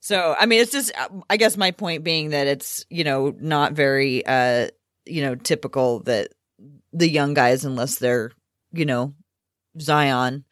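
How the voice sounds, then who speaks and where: A woman's voice: sits low, a little slow, no echo, studio quality. A woman co-hosting a sports podcast in casual chat, thinking aloud a bit tentatively.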